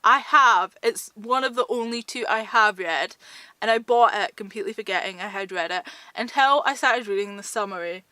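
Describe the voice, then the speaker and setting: A woman's voice: mid-range voice, moderate pace, pushed and bright, no echo, poor audio. A woman chats casually about books to her viewers, informal and self-deprecating in manner. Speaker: book vlogger. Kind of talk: casual book chat to viewers.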